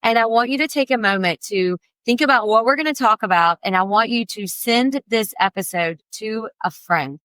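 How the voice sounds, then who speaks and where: A woman's voice: a little high, normal speed, hardly any pauses, a bit bright, clean tone, no echo. A woman hosting a podcast, effusive and enthusiastic as she introduces and talks with her guest. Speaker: podcast host. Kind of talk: podcast interview.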